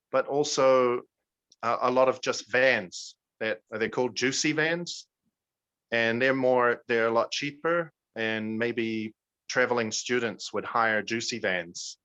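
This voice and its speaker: A man's voice: mid-range voice, a bit bright, muffled recording. A man from New Zealand whose accent mixes New Zealand with North American, chatting casually as he answers questions as a guest. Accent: New Zealand with North American touches. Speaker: native English speaker guest answering students' questions. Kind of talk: casual informal question-and-answer on a video call.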